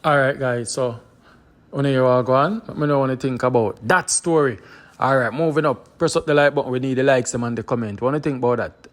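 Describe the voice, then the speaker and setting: A man's voice: medium pitch, a little fast. A man hosting a live call-in stream, talking casually and chatting with callers. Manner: casual, chatty, lots of short backchannels. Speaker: host.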